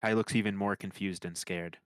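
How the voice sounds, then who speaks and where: A man's voice: low voice, steady tone, a little fast, dry recording. A man reading screenplay scene descriptions as scripted narration. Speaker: narrator reading stage directions.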